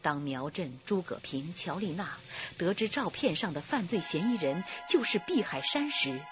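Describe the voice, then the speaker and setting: A woman's voice: fairly low pitch, animated, normal speed. A woman narrating a crime drama with dramatic, scripted delivery. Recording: slightly dull recording over music. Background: music.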